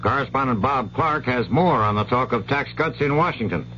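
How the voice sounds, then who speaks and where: A man's voice: medium pitch, animated, moderate pace, pushed and bright, lo-fi sound, slight background noise. An American man reading a scripted radio newscast as anchor on an old 1970s broadcast. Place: radio studio.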